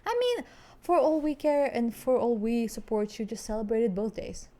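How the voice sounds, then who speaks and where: A woman's voice: fairly high pitch, animated, moderate pace, dark tone. A woman co-hosting a podcast in casual chat, speaking English as her second language, chatty and prone to tangents as she muses aloud. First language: not English. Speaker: podcast co-host.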